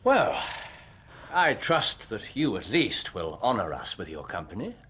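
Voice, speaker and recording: Theatrical, husky, self-assured English detective. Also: male voice, fairly low pitch, wildly swooping pitch, unhurried, a bit bright, exaggerated voice. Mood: courteous, expansive. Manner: precise, theatrical, emphatic. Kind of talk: period radio drama acting.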